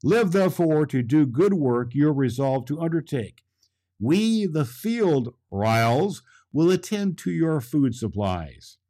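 A small, kindly nature sprite from a storybook, read by a man. It declaims in formal, old-fashioned phrases meant to reassure, with a very animated, swooping pitch. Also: slow pace, full character voice. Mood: reassuring, solemn. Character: yellow ryle, kindly little flower sprite, formal and reassuring.

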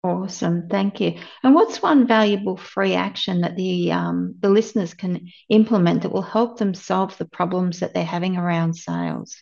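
A woman's voice: lowish voice, animated, normal speed, creaky, hardly any pauses, darkish tone. A woman hosting a podcast, asking questions and thanking her guest appreciatively. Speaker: podcast host. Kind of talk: podcast interview. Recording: dull lo-fi remote recording.

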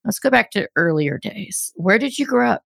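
A woman hosting a podcast, reading a scripted introduction in a measured, announcer-like way and interviewing a guest. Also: medium pitch, lively intonation, creaky, a bit bright, no echo, clean studio sound. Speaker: podcast host.

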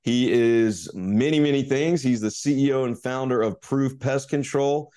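A man's voice: medium pitch, a little slow, mellow, smooth. A man hosting a business podcast, opening with a scripted upbeat intro and then chatting casually with a guest, with fillers. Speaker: podcast host. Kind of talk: casual interview chat.

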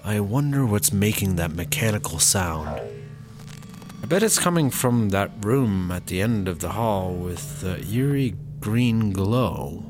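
Nervous, awkward guy, lively pitch. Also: unhurried, over music. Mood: wary curiosity. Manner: hesitant, awkward, apologetic. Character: nervous, polite, naive man bluffing his way along. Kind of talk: comedy audio drama acting.

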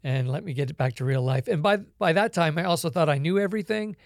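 Man from Canada, slightly higher pitch, dry close sound, reflective storyteller, frequent ums. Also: fast, dry recording. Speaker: guest. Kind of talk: conversational interview.

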